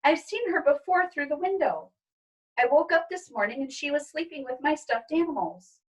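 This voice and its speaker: A woman voicing an earnest, tender-hearted young girl, pitching her voice up and pleading. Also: lively intonation, moderate pace, distant mic. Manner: pleading, higher-pitched girlish voice.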